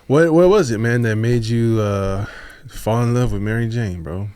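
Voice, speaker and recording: Slow-talking Bay host, low voice. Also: male voice, a little mellow, clean tone. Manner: slow, deliberate talker. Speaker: podcast host. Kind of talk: casual interview chat. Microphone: studio podcast mic, dry room.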